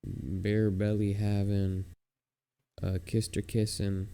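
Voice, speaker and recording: A young American man with a lowish, very mellow and dark voice. He chats casually as a podcast co-host, hesitant and rambling, in a self-deprecating way. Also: monotone, slow pace, smooth. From United States. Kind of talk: casual chat.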